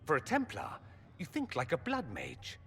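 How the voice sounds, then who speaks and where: A man's voice: a little high, wildly swooping pitch, normal speed, vocal fry, fairly bright tone, a little hiss or hum, exaggerated voice. An actor playing a dry-witted male mage who speaks in an arch, sardonic way, in a video game cutscene. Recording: long hall reverb.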